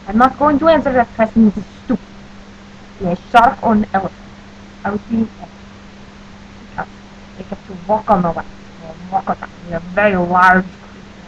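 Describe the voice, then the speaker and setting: Woman, New Jersey accent, deadpan, stiff formal phrasing, muffled lo-fi recording, faint hum. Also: medium pitch. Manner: stiff, overly formal phrasing, avoids contractions, deadpan silliness. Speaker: audio blogger answering a question list. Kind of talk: casual audio post.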